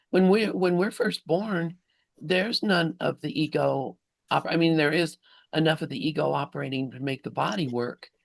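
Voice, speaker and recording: A man in a spiritual study group, reflecting informally and haltingly as he thinks aloud. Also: fairly high pitch, gravelly, dry recording.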